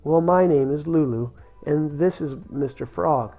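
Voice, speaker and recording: A man's voice: fairly high pitch, unhurried, dark tone, soft background music. A man putting on a cheerful little storybook girl. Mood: caring. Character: gentle kind little girl.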